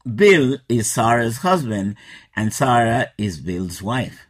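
A man, teaching an English lesson in a slow, patient scripted style. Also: mid-range voice, big pitch swings. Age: 60s.

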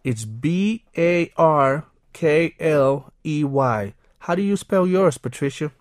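A man playing a polite twenty-two-year-old engineering student who spells words out clearly. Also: fairly high pitch, expressive, slow, dry recording, studio quality.

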